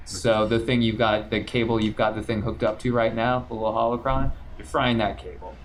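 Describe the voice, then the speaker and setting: A man narrating as game master of a tabletop game, relaxed and descriptive, with frequent fillers. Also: fairly low pitch, brisk, slight background noise.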